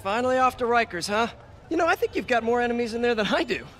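An actor playing a young, boyish, good-natured superhero in a video game. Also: male voice, very high voice, animated, quick pace, a bit bright. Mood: cocky, teasing. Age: young adult. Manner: flippant, boyish.

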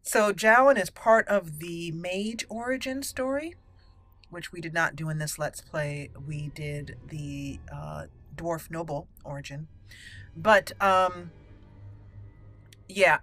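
A woman with a slightly low voice narrates a roleplay playthrough of a game. She speaks deliberately and haltingly, slipping between commentary and in-character lines with dramatic emphasis. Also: a bit bright. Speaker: gaming channel host, roleplayer. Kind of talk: roleplay let's play commentary, reading in character and musing aloud.

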